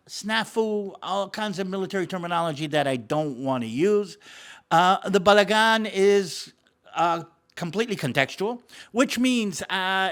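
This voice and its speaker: A man's voice: on the high side, a bit bright. A middle-aged man with an American accent, born in the States and raised in Israel, talking through Middle East events in a casual, explanatory way, with fillers and repeated words. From born in the United States, grew up in Israel.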